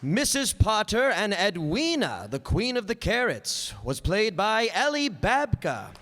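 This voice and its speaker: Male live-show announcer and narrator. High voice, reverberant hall, faint background noise. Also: very animated, measured pace, a bit bright, some chatter behind. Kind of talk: live stage announcing to audience.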